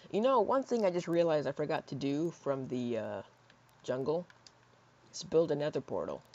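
Hoarse young guy rambling over gameplay. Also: mid-range voice. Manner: rambling, filler-heavy, drifts into soft mutters. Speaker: gaming YouTuber. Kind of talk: casual gameplay commentary. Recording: slightly dull lo-fi mic.

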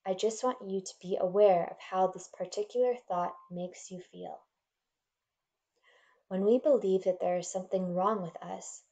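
A woman leading a guided meditation in a gentle, soothing, measured manner, leaving pauses between instructions. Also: medium pitch.

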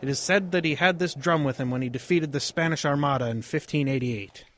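A man's voice: fairly high pitch, a little fast, a bit bright, a little hiss or hum, lightly acted. A man playing an earnest English father, proud of history, lecturing in a radio drama. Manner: lecturing, storytelling.

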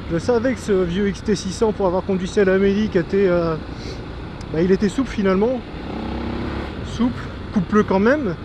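A man giving enthusiastic, informal vlog commentary, thinking aloud as he rides a motorcycle. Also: high voice. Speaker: motovlogger. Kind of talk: casual vlog commentary while riding a motorcycle. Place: outdoors on a moving motorcycle on country roads. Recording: noisy outdoor recording with engine and wind.

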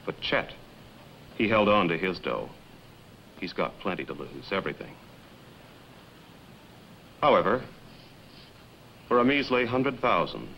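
An actor playing a cold, scheming male blackmailer in a 1950s film drama, with a low, coolly threatening delivery. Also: muffled recording, heavily acted. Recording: old film soundtrack with light hiss.

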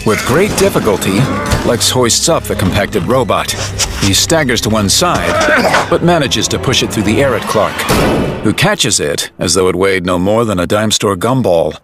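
A man's voice: fairly low pitch, very animated, normal speed, loud music behind the voice. A man delivering scripted dramatic narration for an audio drama.

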